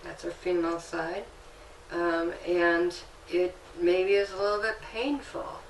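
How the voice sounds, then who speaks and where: A woman working as a Reiki practitioner, calmly explaining while guiding a healing session in an indoor room. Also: fairly low pitch, slow, a little hiss or hum. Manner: calm, explanatory, gently instructive. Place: indoor treatment room with fan. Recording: echoey room, mic at a distance.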